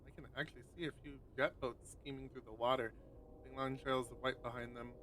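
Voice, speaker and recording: A man's voice: medium pitch, fairly even intonation, quick pace, fairly bright tone, slight background noise, rough recording. A man hosting a live stream, chatting casually with friends and reading a visual novel's text aloud with rambling asides. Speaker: streamer host.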